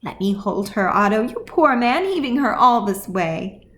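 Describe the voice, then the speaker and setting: A woman's voice: a little high, sing-song, moderate pace, fairly bright tone. Woman reading as a no-nonsense motherly dwarf widow. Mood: brisk, kindly. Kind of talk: audiobook character acting.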